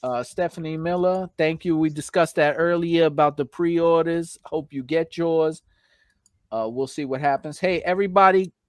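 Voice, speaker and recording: Guy hosting a livestream, kinda high voice, chatty and slangy, bit hoarse, dry close sound. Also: steady tone, moderate pace, no echo. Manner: chatty, slangy, informal shout-outs. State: slightly hoarse from long talking. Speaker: live stream host. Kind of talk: casual live stream chat, thanking viewers.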